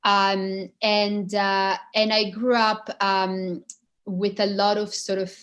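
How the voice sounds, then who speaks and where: A woman's voice: medium pitch, little pitch movement, slow pace, fairly bright tone, lo-fi sound. A woman, talking informally about herself as an interview guest. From Switzerland. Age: 30s. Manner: informal, frequent "like", chuckles mid-sentence. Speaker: guest, impact investor and founder. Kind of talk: informal personal account in an interview. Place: online video call.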